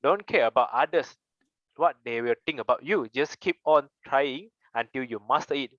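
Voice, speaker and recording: A man's voice: mid-range voice, normal speed, fairly bright tone, no echo, dull audio. A young man with a Malaysian English accent, giving an earnest prepared speech with personal stories and rhetorical questions. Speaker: club member giving a speech.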